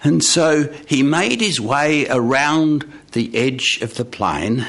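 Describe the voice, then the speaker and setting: A man telling a story to a live audience, with deliberate, dramatic phrasing and pauses. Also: lowish voice, fairly bright tone, breathy edge. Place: radio studio with live audience.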